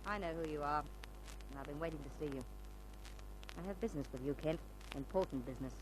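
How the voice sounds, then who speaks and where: A woman's voice: deep voice, quick pace, noisy background, close to an everyday voice. An actress playing a brash, slangy, eccentric woman with a shrewd side in an old-time radio drama. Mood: businesslike, confiding. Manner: slangy, bold, theatrical. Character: brash eccentric older woman, street character secretly shrewd and refined. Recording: old radio recording with music.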